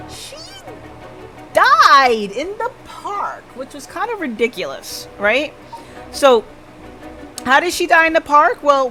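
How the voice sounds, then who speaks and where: Female game streamer, higher register, slow-paced and informal, talking through the story aloud over background music. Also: sharp tone. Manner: informal, thinks aloud, checks in with listeners. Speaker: livestreamer. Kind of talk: casual game stream recap. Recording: music playing behind the voice.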